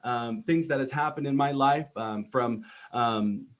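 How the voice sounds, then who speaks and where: A man's voice: mid-range voice, measured pace. A man in his thirties, from Texas, hosting a motivational podcast in an earnest, informal way with many filler ums and repeated words. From Texas, United States. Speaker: podcast host. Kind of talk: motivational personal monologue.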